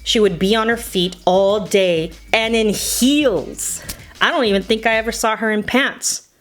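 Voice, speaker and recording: A woman's voice: on the high side, a little slow. Woman telling nostalgic stories over music. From United States. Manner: playful, nostalgic, slipping into Spanish phrases. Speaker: storyteller. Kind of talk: personal scripted storytelling narration.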